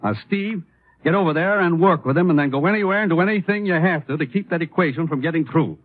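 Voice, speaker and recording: An actor playing an earnest, authoritative government commissioner in 1950s radio style. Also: male voice, on the high side, fast talker, dry recording, natural voice. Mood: grave, urgent. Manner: grave, authoritative. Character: earnest, businesslike male government commissioner, the agent's boss. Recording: thin, phone-like old radio recording.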